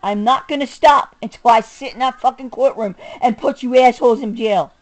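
High-pitched middle-aged woman ranting angrily. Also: moderate pace. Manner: combative, profane, emphatic, swinging between muttering and raised voice. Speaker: self-recording vlogger. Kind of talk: angry personal rant to camera.

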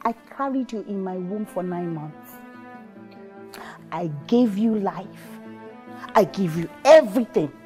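A woman's voice: mid-range voice, animated, unhurried, long pauses, mellow, faint music behind, close to an everyday voice. A woman playing a strict, preachy mother who scolds her son. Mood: scolding. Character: strict, furious, pious mother.

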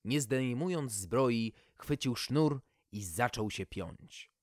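Slow-paced male fairy-tale storyteller. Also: mid-range voice, animated, dry recording, studio quality. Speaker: storyteller, audiobook reader. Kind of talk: expressive scripted fairy-tale narration.

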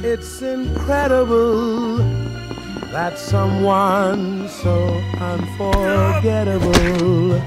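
A woman's voice: mid-range voice, fairly even intonation, very slow. A woman singing an old romantic ballad. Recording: loud music around the voice.

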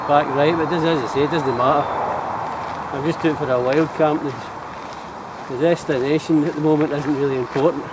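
A middle-aged Scottish man talks easily to a handheld camera while out walking. His voice sits a little high, loose and chatty. Heavy wind and passing cars roar over the recording. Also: steady tone, moderate pace. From Scotland. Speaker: vlogger. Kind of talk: casual hiking vlog. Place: outdoors on roadsides and forest paths. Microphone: camera held at arm's length. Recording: loud wind and passing traffic.

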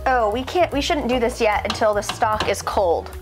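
A woman's voice: a little high, normal speed, over music. A woman in her thirties chatting casually and playfully as she co-hosts a home cooking video, with a sarcastic streak. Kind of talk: casual banter while cooking. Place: home kitchen.

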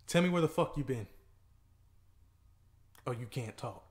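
A man playing a gravelly jealous boyfriend. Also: medium pitch, measured pace. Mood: agitated. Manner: swearing, pacing between panic and anger. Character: jealous anxious boyfriend waiting up all night. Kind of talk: acted skit in a song.

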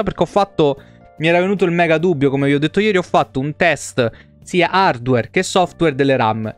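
A young adult man from Italy chatting casually as a game streamer, rambling and swearing freely, on a close desk microphone in a home room. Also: fairly high pitch. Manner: informal, rambling, profane; repeats words for emphasis. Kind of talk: casual livestream chat.

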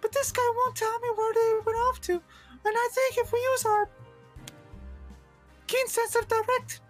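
A man voicing a gruff, streetwise male agent who bosses his young partner around. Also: very high voice, flat delivery, normal speed, fairly bright tone, soft background music. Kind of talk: improvised game voice acting.

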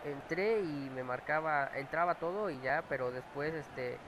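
A man's voice: on the high side, moderate pace, background noise. Mexican man chatting over his game, with a cold. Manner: chatty, exclaims and swears at plays. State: has a cold. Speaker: gamer commentating his own match. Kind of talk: casual gameplay chat. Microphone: clip-on mic near his mouth.

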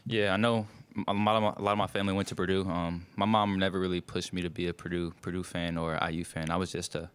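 A man's voice: deep voice, level tone, smooth. A young man, a college basketball player answering questions at a postgame press conference into a table mic, loose and full of fillers. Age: young adult. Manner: loose, filler-heavy, speaks in quick bursts. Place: press room in front of a sponsor backdrop.

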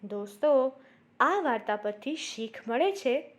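Lady storyteller for kids, high pitched, takes it slow, talks right to the little listeners. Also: expressive. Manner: addresses young listeners directly. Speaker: children's storyteller. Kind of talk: storytelling narration for children.

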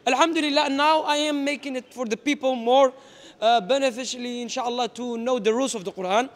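A man's voice: extremely high pitch, a little fast. A man in his thirties hosting an on-camera street interview in a shopping mall, mixing in religious phrases. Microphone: small handheld wireless mic.

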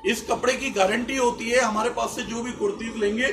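A man's voice: very high-pitched, steady tone, a little fast, distant mic, background noise. A middle-aged man, a fabric seller explaining his stock in a matter-of-fact way while showing items. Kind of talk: casual product talk. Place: small clothing shop, indoors.

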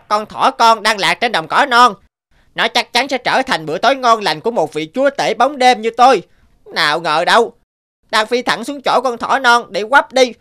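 Indignant bright-voiced cartoon bird. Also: female voice, on the high side. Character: small aggrieved bird, indignant and boastful. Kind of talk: animated fairy-tale acting.

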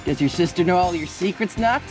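A man playing an excitable, chatty, boyish friend in a fan audio drama, eager and playful. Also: sits high, fairly quick, music in the background, natural voice.

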